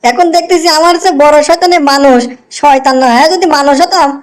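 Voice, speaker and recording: Woman voicing an eager, high-pitched young cartoon devil. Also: fast, close to an everyday voice. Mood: curious, eager. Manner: chatty, repeating words excitedly. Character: eager, admiring junior devil. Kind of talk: comic cartoon dialogue.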